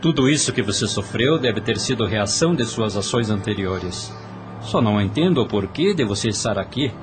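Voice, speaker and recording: A man's voice: on the low side, lively intonation, normal speed, music in the background, pitched below the performer's own voice, natural voice. A man voicing a reflective, moralizing Brazilian listener in an audio story.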